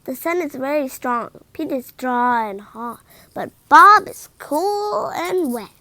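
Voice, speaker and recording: High-pitched female storyteller, slow and deliberate. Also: animated, sharp tone. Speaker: read-aloud storyteller. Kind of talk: expressive children's picture-book read-aloud.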